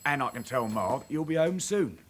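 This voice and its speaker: Lively young lad under suspicion played by an actor. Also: male voice, medium pitch, fairly quick, close to an everyday voice. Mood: reassuring. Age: young adult. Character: young working-class London lad, crime suspect, guardedly cocky. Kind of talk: radio crime drama acting.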